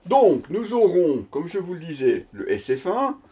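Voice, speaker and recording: A man's voice: on the high side, big pitch swings, measured pace, mellow, a little hiss or hum. A man giving a casual, improvised product unboxing commentary, speaking conversationally and hesitantly as he searches for words. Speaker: product reviewer presenting an unboxing.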